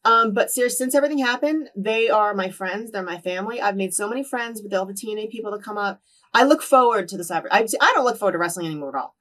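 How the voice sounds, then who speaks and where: Fast-talking woman telling stories. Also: medium pitch, distant mic. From New Jersey. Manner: lively storyteller who acts out quoted dialogue. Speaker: interview guest. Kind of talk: casual storytelling in a sit-down interview.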